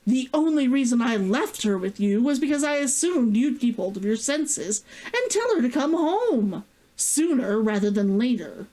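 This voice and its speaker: A woman's voice: on the high side, big pitch swings, moderate pace, dark tone, pitched above the performer's own voice, rough recording. A woman voicing an indignant, controlling older sister who snarls accusations in a story read aloud. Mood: accusing, angry.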